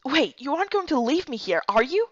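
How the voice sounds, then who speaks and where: A woman's voice: high voice, sing-song, brisk, no echo, muffled recording, pitched above the performer's own voice. A woman voicing a confused, startled young woman lost in another world, in an amateur game dub. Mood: pleading.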